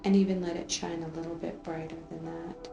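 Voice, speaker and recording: A woman leading an unscripted guided meditation in a soothing, drawn-out way, gently repeating phrases. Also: lowish voice, level tone. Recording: roomy echo, faint music underneath.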